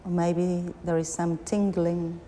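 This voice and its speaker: A woman guiding a yoga nidra meditation, giving soothing, unhurried instructions with long pauses between short phrases. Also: lowish voice, little pitch movement, dark tone. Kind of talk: guided meditation. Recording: long reverberant hall, background noise.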